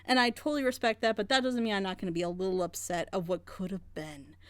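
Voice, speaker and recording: A woman's voice: mid-range voice, fairly even intonation, fast, no room sound. A woman hosting a group reaction video, critiquing a story in a passionate, rambling way. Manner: frustrated, rambling, emphatic. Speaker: reaction channel host.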